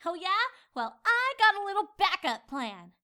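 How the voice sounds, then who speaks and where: A woman's voice: very high voice, very animated, moderate pace, pushed and bright, full character voice. A woman voicing an indignant, bookish young female pony who gets easily flustered in a comedic story reading. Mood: defiant. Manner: indignant, dramatic. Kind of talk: acted comedic fan fiction reading.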